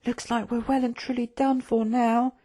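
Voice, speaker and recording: Fantasy sidekick, read by a narrator: timid male, high pitch, hushed, fretful, defeatist. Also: a little fast, breathy edge, close to an everyday voice. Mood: frightened. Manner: hushed, anxious. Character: timid, frightened, despondent male companion.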